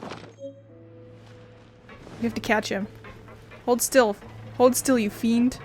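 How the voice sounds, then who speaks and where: A woman's voice: on the high side, steady tone, very slow, a little mellow, soft background music. A woman playing through a video game in a playful, casual way, commenting and reading text aloud. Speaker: gaming video host.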